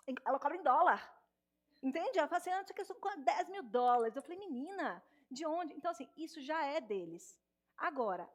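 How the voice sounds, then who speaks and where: A woman in her thirties with a Minas Gerais accent, a speech therapist giving an animated stage talk straight to the audience in an auditorium. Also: sits high. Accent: Minas Gerais Portuguese. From Minas Gerais, Brazil. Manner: warm, animated, addresses audience directly.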